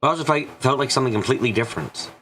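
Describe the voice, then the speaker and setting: A man's voice: mid-range voice, racing, sharp tone, slight background noise. A man reading scripted story narration for a visual novel.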